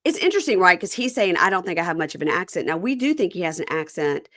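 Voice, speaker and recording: A woman with a mild Southern accent from Mississippi talks in a lower-pitched, chatty way, drifting from one aside to the next. Her voice is recorded close and dry, with no room around it. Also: fast, no echo. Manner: chatty and animated, jumping between tangents. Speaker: podcast host. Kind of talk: casual reality TV recap chat.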